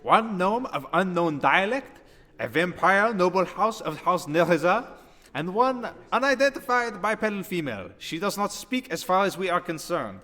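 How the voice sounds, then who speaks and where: Guy doing fantasy auctioneer, big echoey hall, pretty high male voice, grandiose showman patter, wry. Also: very animated, normal speed, pushed and bright, exaggerated voice. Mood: wry salesmanship. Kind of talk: fantasy audio drama. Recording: long echoing hall reverb.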